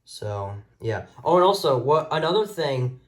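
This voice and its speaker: A man's voice: on the high side, big pitch swings, unhurried, a little hiss or hum. A man casually showing gadgets hands-on, talking in a hesitant, rambling way into a phone camera. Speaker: video host showing a radio collection. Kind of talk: casual hands-on show-and-tell. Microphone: phone camera mic, handheld.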